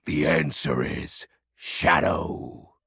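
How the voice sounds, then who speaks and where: A man playing a melodramatic, brooding lord of darkness in a video game, with a raspy, gravelly voice and grandiose delivery. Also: a bass voice, extremely slow, sharp tone, no room sound, clean studio sound, exaggerated voice. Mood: ominous, grandiose. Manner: grandiose, archaic phrasing with sudden casual asides. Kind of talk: theatrical video game voice acting.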